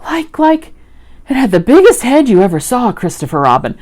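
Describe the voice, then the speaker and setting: A woman voicing a small, timid young male piglet from a storybook, breathless, stammering and excitable. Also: on the high side, normal speed, pitched above the performer's own voice. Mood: flustered.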